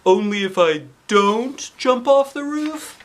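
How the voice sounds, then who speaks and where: A man voicing an eccentric, exuberant middle-aged school principal from a children's storybook, with exaggerated, drawn-out delivery. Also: extremely high pitch, expressive, voice pushed up, exaggerated voice. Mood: puzzled. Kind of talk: children's storybook character reading.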